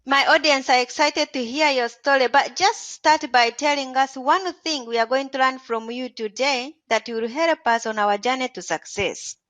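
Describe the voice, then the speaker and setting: Higher-voiced woman podcast host. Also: normal speed, few pauses, a bit bright. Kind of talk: interview host.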